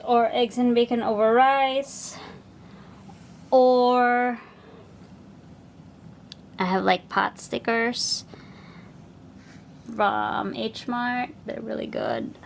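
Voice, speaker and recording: A woman with a Filipino accent talks slowly while she works, pausing often and thinking aloud. She chats like a cross-stitch vlogger doing a stitch-along at home, with an easy, unhurried pace. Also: a little high, gravelly, a little hiss or hum. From Philippines. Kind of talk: casual stitch-along chat.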